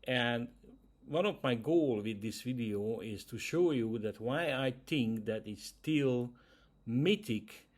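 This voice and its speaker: A man's voice: mid-range voice, lively intonation, slow pace. Hungarian guy talking english, mid voice, lots of uhs, casual chat to camera. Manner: hesitant, many "uh" fillers, non-native grammar. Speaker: YouTube channel host.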